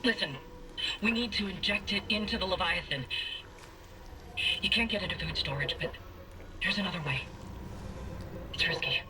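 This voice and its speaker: A woman's voice: mid-range voice, expressive, moderate pace, pauses often, background noise, close to an everyday voice. A woman playing a grim, determined scientist and survivor in a video game, speaking with weary urgency. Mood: urgent. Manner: urgent, explanatory. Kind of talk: dramatic video game acting. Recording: music under the voice.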